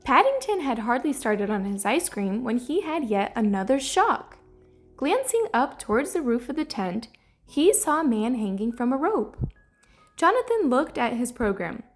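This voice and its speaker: A woman reading a children's story aloud in an expressive, storytelling manner. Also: on the high side, moderate pace. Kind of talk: children's read-aloud narration. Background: soft music.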